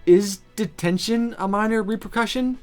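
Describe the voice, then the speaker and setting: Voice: medium pitch, normal speed, faint music behind, voice pushed up, close to an everyday voice. A streamer voicing a flustered, awkward teenage girl mage from a visual novel, stammering and sarcastic. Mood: awkward, defensive.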